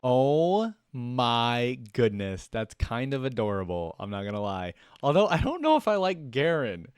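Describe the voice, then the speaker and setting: A man's voice: mid-range voice, sing-song, unhurried, smooth, no room sound, soft background music. A man giving casual, off-the-cuff reaction commentary as a video host.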